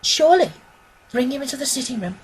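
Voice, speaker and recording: Man putting on a high, gracious lady's voice. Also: lively intonation, quick pace, a little hiss or hum. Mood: kindly concern. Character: gracious young lady, kindly and composed. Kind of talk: dramatised audiobook reading.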